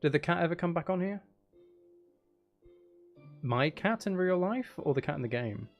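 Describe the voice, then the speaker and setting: A man's voice: on the high side, expressive, normal speed, muffled recording, soft background music. A man chatting casually as he hosts a live game stream, playfully mock-dramatic and self-deprecating with his viewers. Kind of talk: casual chatty gaming livestream talk with viewers.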